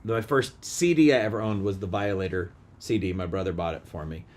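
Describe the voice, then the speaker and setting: A man chatting casually as a podcast co-host, piecing his thoughts together in halting fragments. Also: sits low, a little mellow, a little hiss or hum. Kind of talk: casual music chat.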